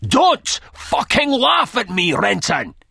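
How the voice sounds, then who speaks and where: Audiobook role, acted by a male narrator: vengeful man, theatrical, husky and barking, pitched up with wild swings. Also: huge pitch swings, slow, sharp tone, no echo, clean studio sound, full character voice. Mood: furious. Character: angry, vengeful, self-dramatising male swindled old friend.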